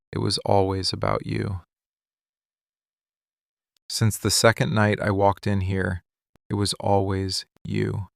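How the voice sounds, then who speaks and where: Man playing a low, slow, tender mafia boss. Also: creaky, no room sound, clean studio sound, exaggerated voice. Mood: possessive, devoted. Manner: soothing, hushed, deliberate short phrases. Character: possessive, tender male mafia boss in love. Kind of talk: boyfriend ASMR roleplay, slow intimate monologue.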